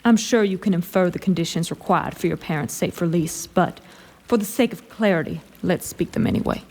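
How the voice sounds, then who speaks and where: A woman's voice: on the low side, fairly quick, darkish tone. A woman playing an imperious, scheming lord commander in a fantasy audio drama, formal and silkily condescending. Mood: cold threat.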